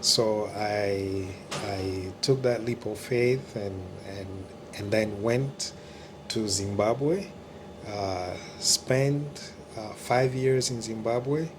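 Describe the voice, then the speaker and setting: A man from the Democratic Republic of Congo, a pastor answering interview questions in a hesitant way with many pauses. His is Kiluba. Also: fairly low pitch, background noise. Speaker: pastor, church regional staff.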